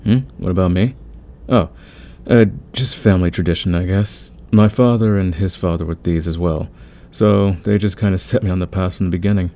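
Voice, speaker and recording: A man playing a calm, friendly veteran thief in an ASMR audio roleplay, speaking in a patient, soothing, conversational way. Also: low-pitched, moderate pace. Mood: friendly, easygoing. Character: calm, friendly veteran male thief smoothing over team tensions.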